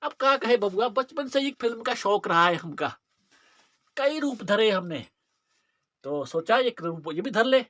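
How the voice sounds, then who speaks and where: A man's voice: sits high, lively intonation, unhurried, fairly bright tone, dry recording, exaggerated voice. A man playing a menacing, cocky police inspector in a dramatic monologue, with a rustic eastern Hindi-belt accent, mocking and drawling, throwing out rhetorical questions. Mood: mocking, boastful.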